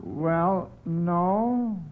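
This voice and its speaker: A man's voice: sits high, drawn-out words. A man hosting a 1940s radio quiz show, who chuckles often and repeats his phrases. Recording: noisy, tinny old radio broadcast.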